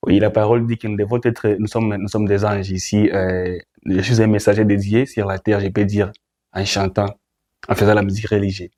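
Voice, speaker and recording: A man's voice: lowish voice, level tone, brisk, a little mellow. A young man with a Congolese French accent answering interview questions, often repeating words and phrases. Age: young adult. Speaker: guest, gospel musician.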